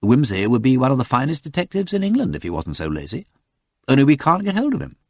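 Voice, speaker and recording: A man voicing a reserved male police detective who speaks quietly and dryly. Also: medium pitch, huge pitch swings, quick pace, no echo, clean studio sound, voice pushed up.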